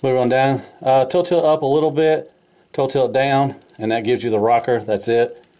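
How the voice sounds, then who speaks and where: A man's voice: mid-range voice, steady tone, moderate pace, mellow. A man giving a casual, hands-on toy review in an informal, folksy way, repeating his phrases.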